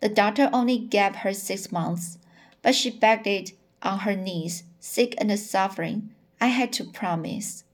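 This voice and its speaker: A woman reading the part of an anguished, long-suffering middle-aged man in a storybook, pleading and halting. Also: medium pitch, close to an everyday voice.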